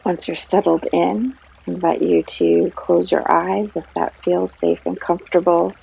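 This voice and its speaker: A woman leading a guided meditation as a yoga guide, speaking gently with long pauses, in a breathy, creaky voice. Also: on the low side, steady tone. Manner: gentle, unhurried, long pauses between phrases. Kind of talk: guided meditation, soothing narration. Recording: slightly dull sound, trickling stream water behind. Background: flowing stream water.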